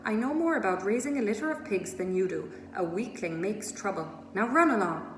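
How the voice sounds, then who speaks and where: A woman voicing a gentle but firm farmer father from a storybook, measured and wryly indulgent. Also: medium pitch, lively intonation, reverberant, a little hiss or hum, lightly acted.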